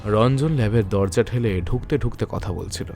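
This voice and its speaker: A man's voice: lowish voice, moderate pace, darkish tone, faint music behind. A man narrating a scripted detective thriller in a literary, dramatic storytelling manner.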